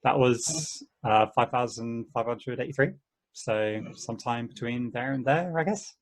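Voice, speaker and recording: A man's voice: medium pitch, measured pace, close to an everyday voice, rough recording. A man playing an easygoing husband chatting with friends in an amateur table read of a play. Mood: amiable.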